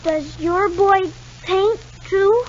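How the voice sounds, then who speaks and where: A high-voiced, timid little boy. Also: very slow, exaggerated voice. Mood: shy, wistful. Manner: hesitant, stammering, polite. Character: timid, anxious, stubborn six-year-old boy. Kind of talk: 1950 radio soap opera acting. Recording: muffled, noisy 1950 radio recording.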